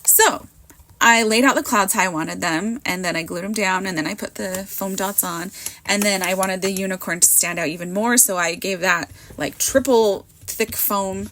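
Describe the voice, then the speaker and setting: A woman in her thirties or forties, hoarse from a cold, rambling in a chatty, self-mocking way as she narrates her card making at a craft table. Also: medium pitch, normal speed, fairly bright tone, a little hiss or hum. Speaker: card maker. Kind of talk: casual crafting voiceover.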